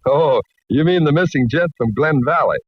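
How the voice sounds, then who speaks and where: Rugged cowboy barman, husky, lively intonation, polite, easygoing, old radio drama. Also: a little high, normal speed, dry recording. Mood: earnest. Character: folksy, courteous cowboy bartender, rugged and easygoing. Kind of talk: 1940s radio acting. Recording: dull vintage radio recording.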